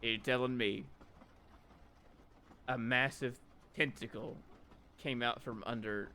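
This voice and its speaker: A man's voice: mid-range voice, steady tone, takes it slowly, bright, forceful tone. A man voicing a chatty, sarcastic young woman in a comedic game fan dub, full of questioning banter. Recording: background noise.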